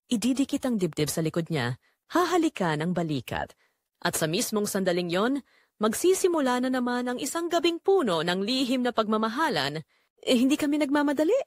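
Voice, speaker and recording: A woman with a Filipino accent narrates a dramatic scripted story in the first person, in deliberate, emotive short phrases. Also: on the high side, no echo, studio quality.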